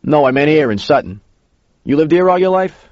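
A man's voice: on the high side, animated, a little fast, darkish tone, voice pushed up. A man voicing a cautioning diner regular who questions a youngster pointedly in an audio story. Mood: probing. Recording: muffled, roomy recording.

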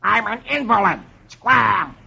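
A man's voice: high voice, expressive, takes it slowly, gravelly, bright, forceful tone, husky, lo-fi sound, exaggerated voice. A man voicing an irritable, exasperated cartoon duck in indignant, emphatic outbursts, on an old radio recording. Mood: angry yelling.

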